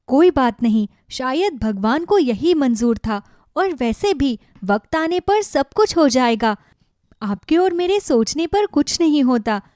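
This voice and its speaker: Woman playing practical village wife, cartoon, reassuring, higher-pitched, dry recording. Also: lively intonation, unhurried, a little mellow, no echo. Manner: reassuring, sensible. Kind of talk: Hindi cartoon moral-story dubbing.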